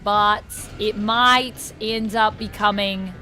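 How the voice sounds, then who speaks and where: Woman streamer doing a wobbly put-on aussie accent, real slow and halting, casual chat, mid pitch. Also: steady tone, bright, forceful tone, faint music behind. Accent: put-on Australian, slipping toward New Zealand and Irish. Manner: halting, self-conscious, trying out vowel sounds and repeating words. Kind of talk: casual livestream chat while practicing accents.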